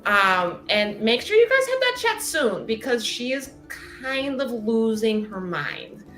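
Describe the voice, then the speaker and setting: Woman playing a bright, swooping, chatty flirt. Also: fairly high pitch, very animated, slow pace, faint music behind, heavily acted. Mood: breezy, cheeky. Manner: gushing, cheeky, rambling.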